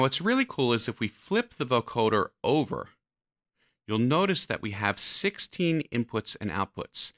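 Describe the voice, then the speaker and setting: A man narrating a software tutorial, explaining step by step like an instructor. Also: lowish voice, dry recording, studio quality.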